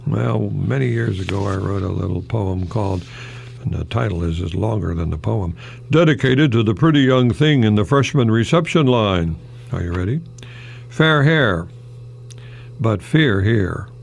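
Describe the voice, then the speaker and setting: An older American man, a retired professor, giving a folksy, rambling radio monologue in a gravelly, husky voice with pauses mid-phrase. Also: lowish voice. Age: older, retired, 60s or above. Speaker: retired English professor hosting a radio program.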